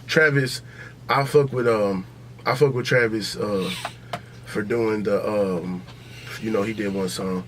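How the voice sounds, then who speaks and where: A man's voice: on the low side, fairly even intonation, a little slow, a bit bright, a little hiss or hum. A man co-hosting a podcast, bantering casually and bluntly in slang about music and sports, repeating himself for emphasis. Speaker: podcast host.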